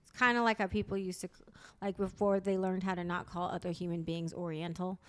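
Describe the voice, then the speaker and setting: A woman's voice: fairly low pitch, little pitch movement, brisk, a bit bright. A woman guest on a podcast, chatting expressively and laughing into her words. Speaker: podcast guest, podcast host elsewhere. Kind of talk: casual chat.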